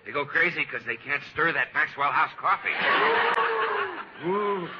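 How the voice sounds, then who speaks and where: Hearty, animated old-time radio announcer. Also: male voice, fairly high pitch, measured pace. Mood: hearty pitchman. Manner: hearty, theatrical announcer delivery. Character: jovial family friend and radio coffee pitchman. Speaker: announcer and sidekick. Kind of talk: 1940s radio comedy and sponsor pitch. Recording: very noisy, muffled old radio broadcast.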